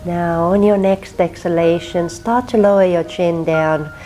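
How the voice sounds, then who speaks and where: A woman from Finland with a Finnish accent, teaching a gentle yin yoga class and softly cueing breath and movement. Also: lowish voice, steady tone, measured pace, faint music behind, poor audio. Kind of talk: guided yin yoga instruction.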